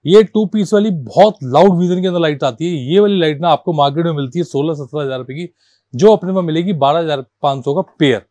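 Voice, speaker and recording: A man from presents goods in an informal sales pitch. His voice sits slightly high and is recorded dry, with little room sound. He is insistent and repetitive, addressing viewers like brothers and switching into English for numbers and terms. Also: a little fast, mellow, dry recording. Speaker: car accessories shop seller presenting stock.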